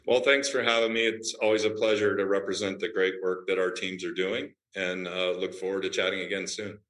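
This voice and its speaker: A man speaking as a healthcare company executive in an interview, measured and businesslike with frequent fillers. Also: low voice, level tone, fairly bright tone, reverberant, low-quality recording. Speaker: healthcare company CEO, guest. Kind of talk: interview answers.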